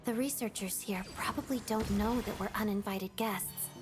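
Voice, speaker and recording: Poised, resolute young female adventurer for an anime game, voiced by a woman, medium pitch, over clear music. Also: moderate pace, close to an everyday voice. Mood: calm, thoughtful.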